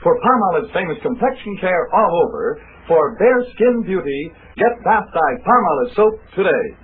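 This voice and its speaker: Male ad announcer, 1940s radio, fairly high, rough-edged voice, phone-like broadcast sound. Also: big pitch swings, normal speed. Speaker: commercial announcer. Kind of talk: scripted 1940s radio advertising. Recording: muffled, tinny 1940s radio recording.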